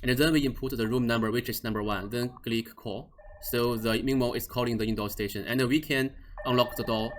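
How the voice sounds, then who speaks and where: A man's voice: medium pitch, fairly even intonation, fairly quick, slight background noise. A man explaining a device setup step by step in a screen-recorded tutorial, with frequent restarts and slightly non-native phrasing. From Australia or New Zealand region office. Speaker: product trainer.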